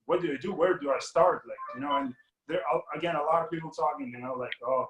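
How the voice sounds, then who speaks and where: A man's voice: fairly high pitch, quick pace, fairly bright tone, slight background noise. Rambling Lithuanian-born man, echoey room. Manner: rambling, many fillers and restarts. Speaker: guest money mindset coach. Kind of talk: casual interview talk.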